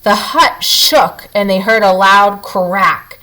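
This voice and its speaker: A woman reading a children's picture book aloud as a storyteller, with expressive, dramatic emphasis for young listeners. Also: medium pitch, slow pace.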